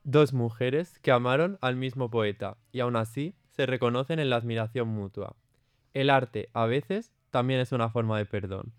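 A man's voice: mid-range voice, moderate pace, clear, resonant tone, no room sound. A man narrating an audio drama in a solemn, literary storytelling manner. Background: music.

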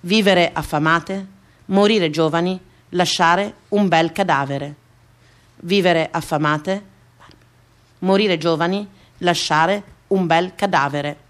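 Woman performing a theatrical monologue. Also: on the low side. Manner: deliberate, repeats phrases for emphasis. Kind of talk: theatrical radio monologue, dramatic scripted storytelling. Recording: music behind the voice. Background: music.

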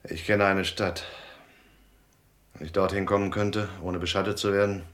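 Low-voiced, hunted gangster on the run. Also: male voice, flat delivery, normal speed, fairly bright tone. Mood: weary, calculating. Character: hunted male gangster dropout, tough yet anxious. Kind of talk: German radio drama acting.

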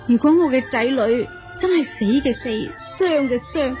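A learned noblewoman of ancient China, played by a woman in a Cantonese audio drama. Her voice is fairly high and emotive, with sighs and humble, courtly phrasing, over clear background music. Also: measured pace, a little mellow. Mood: wistful, grieving. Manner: emotive, sighing, formally courteous.

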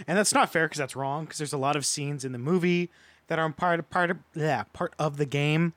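A man's voice: a little high, fairly even intonation, fairly quick, fairly bright tone, no room sound. A man giving his opinions as a podcast panelist in a casual, conversational review.

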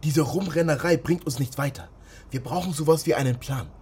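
A man's voice: a little high, fairly quick, a little mellow, a little hiss or hum, natural voice. An actor playing a wary young man living illegally, in naturalistic radio drama dialogue and inner monologue. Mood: focused. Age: young adult.

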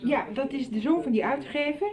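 A woman's voice: sits high, a little fast, a crowd in the background. A woman playing a concerned, insistent mother in a radio soap, with chattering voices behind her. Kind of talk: 1990s radio soap acting.

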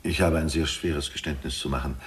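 A man's voice: a bass voice, fairly even intonation, a little fast. A man in a German radio drama playing a troubled middle-aged father of a criminal, speaking in a grave, probing, reproachful way. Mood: grave confession.

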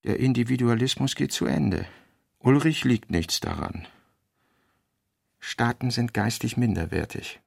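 A man narrating a radio play, reading fragmentary notes in a measured, clipped way. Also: mid-range voice, gravelly, slightly rough. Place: radio studio.

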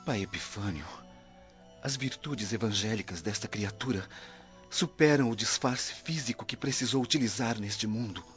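An actor playing a pious, respectful monk in a radio drama, measured and deferential. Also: male voice, lowish voice, lightly acted. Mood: reverent. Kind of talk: radio play acting. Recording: dull lo-fi audio with music.

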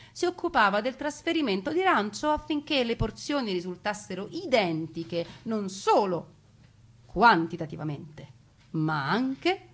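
A woman delivering a theatrical narrated monologue, expressive, with deliberate pauses and emphasis. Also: mid-range voice. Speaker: stage storyteller.

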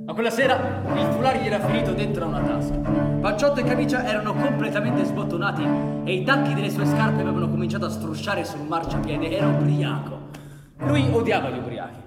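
An actor performing emphatic, brooding narration of a bitter anarchist's story for radio theatre. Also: male voice, high voice, lively intonation, fairly quick. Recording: reverberant hall, live music behind. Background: live music.

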